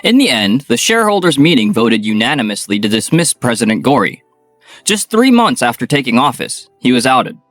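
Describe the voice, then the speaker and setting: A man's voice: mid-range voice, big pitch swings, a little fast, a bit bright, no echo, faint music behind. Man narrating a manga dub. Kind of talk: scripted narration.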